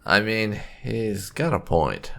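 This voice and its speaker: A very deep-voiced man hosts a roleplay let's play. His delivery is slow and thoughtful, with hugely varied intonation and a creaky, gravelly edge. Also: sing-song, a bit bright. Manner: thoughtful, deliberate, reading character dialogue aloud. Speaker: gaming channel host.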